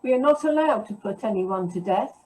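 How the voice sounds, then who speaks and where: A woman's voice: mid-range voice, animated, fairly quick, a little mellow, recorded from a distance, close to an everyday voice, poor audio. A woman reading accusing bystanders in a dramatized Bible reading, plainly voiced, a little away from the mic. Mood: insistent. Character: accusing bystanders, gate girl and temple authorities.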